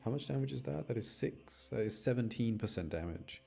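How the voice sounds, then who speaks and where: A man's voice: mid-range voice, animated, fairly quick, gravelly, warm tone, faint music behind. A man doing casual live game commentary for his chat, rambling and thinking aloud as he plays. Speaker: game streamer.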